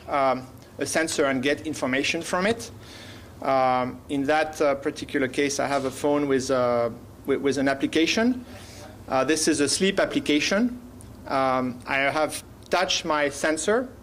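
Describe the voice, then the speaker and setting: A man's voice: mid-range voice, a little hiss or hum. French-accented man presenting at a conference. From France. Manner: halting, many fillers and self-corrections. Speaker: panelist. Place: conference hall.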